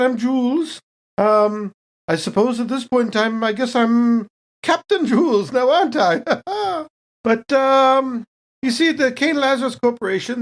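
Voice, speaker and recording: Lady doing a polite dodgy space captain, highish swoopy voice, genteel and hesitant, improv rpg. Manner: hesitant, fussy, genteel phrasing. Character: polite, evasive company woman, self-styled starship captain. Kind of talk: improvised tabletop role-play.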